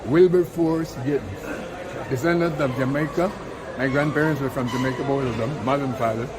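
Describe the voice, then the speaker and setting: A man's voice: medium pitch, a little fast, a crowd in the background, low-quality recording. An older man from Panama, a retired fire captain, speaking plainly and in a friendly way.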